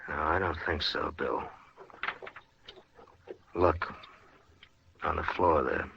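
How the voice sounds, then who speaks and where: An American man acting a steady, world-weary Old West marshal and narrator in a 1950s radio western. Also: a bass voice, slow pace, creaky, background noise, full character voice. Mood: grim, calm. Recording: dull vintage radio recording with music.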